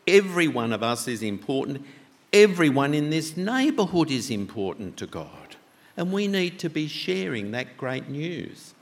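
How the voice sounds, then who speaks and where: A man preaching a sermon with a loud voice, talking conversationally and asking the congregation many questions. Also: a little high, big pitch swings, a little slow. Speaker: pastor. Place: church. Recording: long church reverb.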